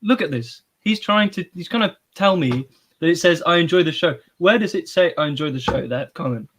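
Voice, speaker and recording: A man's voice: fairly high pitch, animated, fairly quick, fairly bright tone. A young man co-hosting a casual livestream, announcing segments in a playful, presenter-like way. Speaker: co-host.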